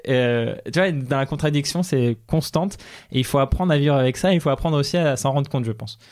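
Homme hésitant au débit haché. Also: male voice, mid-range voice, a little fast, darkish tone. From Belgium and Morocco. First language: Dutch. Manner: hesitant, many restarts and fillers, laughs often. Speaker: podcast co-host. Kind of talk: casual conversation.

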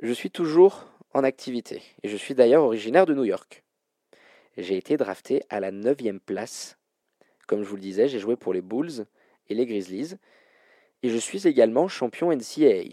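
A man with a mellow, relaxed voice hosts a sports trivia game. His delivery is informal and full of colloquial slang, and the sound is close and dry. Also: medium pitch, normal speed, dry recording. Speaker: quiz show host. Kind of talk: hosting a basketball trivia quiz, casual banter.

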